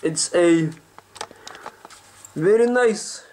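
A man's voice: high voice, very slow, a little mellow. Man acting as cheeky young Slavic vlogger: Russian accent, broken English, rambling and repetitive, noisy handheld kitchen recording. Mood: playful. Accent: put-on Russian. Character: cheeky, foul-mouthed young Slavic man, comedic persona. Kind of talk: casual comedic vlog. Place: small home kitchen indoors. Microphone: handheld camera at arm's length. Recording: noisy handheld camera with clicks.

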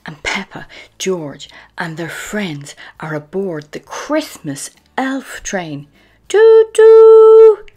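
A woman storyteller narrating a children's book aloud in an expressive, deliberate manner. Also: low voice, breathy edge. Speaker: storyteller, channel host.

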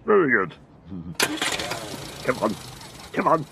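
Bumbling childish cartoon man, super slow gravelly muttering, drawn out noises. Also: medium pitch, sing-song, heavy background noise, full character voice. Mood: jolly. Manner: terse muttering with drawn-out interjections. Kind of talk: cartoon acting.

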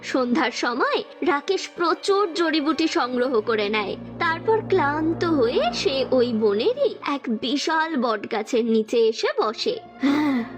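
A woman giving scripted narration for an animated moral story. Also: high-pitched, unhurried. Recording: background music throughout.

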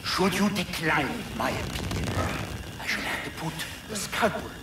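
An actor playing a gleefully sadistic old German scientist general in a video game, theatrical and courteously menacing. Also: male voice, on the high side, sing-song, normal speed, distant mic. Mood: coolly sadistic. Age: older. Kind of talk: video game villain monologue. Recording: echoey chamber, loud music behind.

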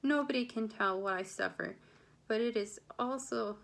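A woman acts out a querulous middle-aged mother from a classic novel. She complains insistently and pities herself, pressing her words out with raised pitch and emphasis. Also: moderate pace, vocal fry, fairly bright tone. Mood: scolding, self-pitying. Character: querulous, self-pitying middle-aged mother, easily outraged.

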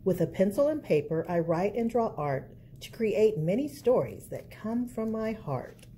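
A woman's voice: medium pitch, sing-song, a little slow, dark tone. A woman reading a picture book aloud as a storyteller, expressively and for young children. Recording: faint background noise.

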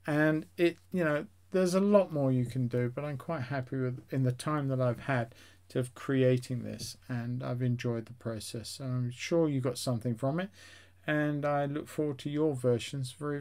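A man's voice: mid-range voice, darkish tone. A man in his sixties hosting a painting tutorial, chatting casually and encouragingly to his viewers. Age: 60s. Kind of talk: casual instructional chat to viewers.

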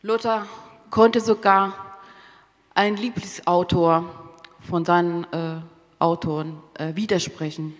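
A woman's voice: lowish voice, steady tone. Careful woman interpreter in a reverberant hall. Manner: careful, halting short phrases with rephrasing. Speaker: consecutive interpreter. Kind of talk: solemn spoken interpretation of a eulogy. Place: theatre stage. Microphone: microphone on a stand.

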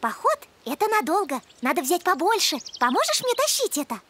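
Very high-pitched curious little creature boy. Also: sing-song, brisk, bright, forceful tone, heavily acted. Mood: eager. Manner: earnest, eager, polite. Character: polite, curious little male moon creature child.

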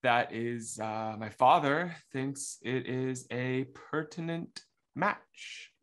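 A man playing a nervous young suitor in a radio mystery, stammering and halting as he tries to please. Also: medium pitch, a bit bright. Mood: nervous, defensive. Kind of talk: radio mystery acting, live online table read.

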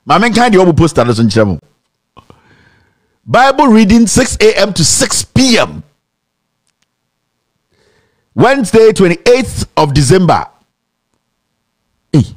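A man's voice: high-pitched, measured pace, long pauses. A young Ghanaian man with a Ghanaian accent, hosting a mocking commentary show and mixing English with Twi. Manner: animated, sarcastic, breaks into laughter and sing-song mockery. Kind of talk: animated commentary. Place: indoor studio set.

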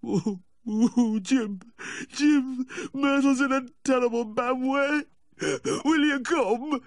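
Shrill, maudlin drunken Yorkshire countryman. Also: sing-song, warm tone, dry recording. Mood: tearful, distraught. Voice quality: slurred, whining. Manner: pleading, sentimental, drawn-out wailing. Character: maudlin, tearful, drunken little dog-loving countryman. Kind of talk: audiobook character voice.

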